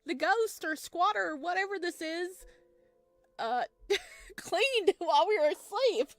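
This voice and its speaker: A woman's voice: extremely high pitch, lively intonation, unhurried, fairly bright tone, dry recording. A woman playing a visual novel, reading its narration aloud and adding informal, giggly asides of her own. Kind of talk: game playthrough, reading aloud with chatty reactions. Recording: music behind the voice. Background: game music.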